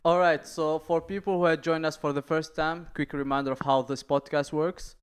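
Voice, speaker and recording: A young man hosts a comedy podcast with a clear, slightly high voice. He sounds upbeat as he explains how the show works. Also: steady tone, moderate pace. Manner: upbeat, explains the rules, laughs along. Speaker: podcast host. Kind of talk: casual podcast hosting.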